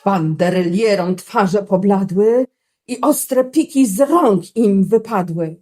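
A woman narrating a rhymed children's story with theatrical, deliberate, emphatic phrasing on a noisy amateur recording. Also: medium pitch, warm tone. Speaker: storyteller in a community radio play.

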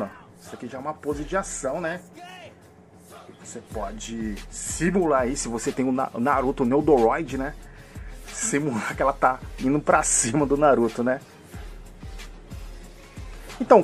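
Man with a mixed São Paulo and Rio accent, casual enthusiastic reviewer, off-screen camera mic, light music bed. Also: mid-range voice. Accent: mixed São Paulo and Rio de Janeiro Brazilian Portuguese. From Brazil. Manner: chatty, fills with "né?" and "hein?", enthusiastic. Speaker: toy reviewer.

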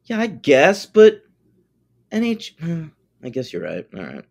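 A man's voice: fairly high pitch, wildly swooping pitch, takes it slowly, gravelly. A man co-hosting a podcast, talking casually and animatedly, joking and swearing. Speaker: podcast co-host.

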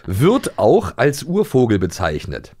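A man's voice: medium pitch, wildly swooping pitch, a little slow, dark tone. A man co-hosting a podcast in casual chat, playful and jokey, often hesitating with fillers. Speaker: podcast co-host.

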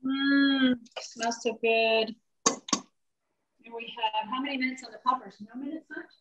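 Woman, slightly high voice, chatty playful host, video call cooking class. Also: steady tone, muffled recording, background noise. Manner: chatty, playful, quick asides.

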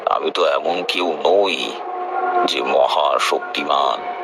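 A man playing a seasoned tantric telling a horror tale in an audio drama, grave and knowing, slow and deliberate with dramatic pauses. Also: very deep voice, full character voice. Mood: knowing, reflective. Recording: clearly noisy background ambience.

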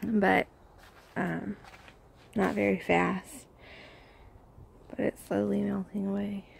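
A woman's voice: medium pitch, little pitch movement, slow, vocal fry, a little hiss or hum. A woman with a Southern Alabama accent chatting casually and with amusement while filming outdoors on her phone. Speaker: vlogger. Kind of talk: casual video diary. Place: outdoors in a snowy yard, at home. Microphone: phone camera held while filming.

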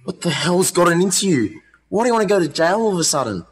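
An actor playing a weary, embittered special agent in a modern radio play, blunt and exasperated. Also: male voice, high voice, sing-song, fast talker. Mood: angry.

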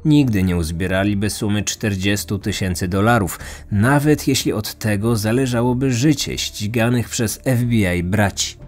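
A man narrating a scripted true-crime podcast as host, in a measured, serious manner. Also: fairly low pitch, a little mellow.

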